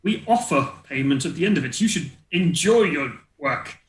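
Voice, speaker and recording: A man's voice: on the high side, lively intonation, moderate pace, natural voice. A man voicing a flustered, evasive dwarf foundry master, stammering and hedging as he talks in a tabletop game. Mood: defensive, reluctant. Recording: roomy echo.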